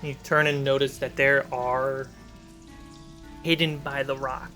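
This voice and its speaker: A man narrating a tabletop fantasy game as game master, describing scenes in short measured phrases. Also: medium pitch, little pitch movement, pushed and bright, soft background music.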